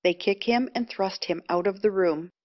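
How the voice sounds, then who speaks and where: A woman's voice: medium pitch, normal speed, no room sound. Dry, muffled woman narrator. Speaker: narrator reading stage directions. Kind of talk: scripted narration.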